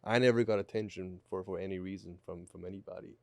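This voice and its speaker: A man's voice: low voice, steady tone, quick pace, gravelly, a little mellow, no room sound. Late-20s male guest, thoughtful, restarts phrases; close dry studio mic. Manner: reflective, repeats words as he thinks. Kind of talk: podcast conversation. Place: small studio. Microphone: studio mic on boom arm, headphones.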